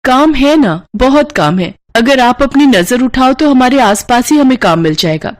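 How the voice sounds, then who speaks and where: Casting: woman playing young village daughter-in-law, sensible, courteous yet firm, for an animated folk tale. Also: sits high, moderate pace, pitched above the performer's own voice. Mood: encouraging. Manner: polite but firm.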